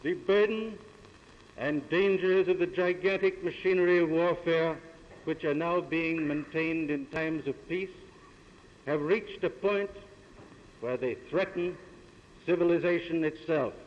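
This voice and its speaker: In a muffled old 1930s newsreel recording with long hall reverb, an American man delivers a formal conference speech. He speaks in measured, declamatory phrases, as a delegate at a podium. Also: a little high, a little hiss or hum. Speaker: government delegate.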